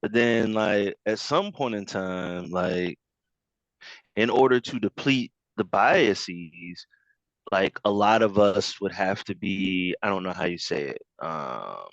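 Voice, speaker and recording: A man in casual group discussion over an online call, talking in animated bursts with many fillers and laughs. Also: low-pitched, slow, no room sound, muffled recording.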